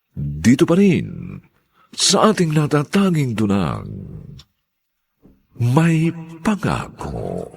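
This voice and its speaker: A man with a deep, husky, gravelly voice reading scripted radio drama announcements in a theatrical, measured manner. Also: no room sound.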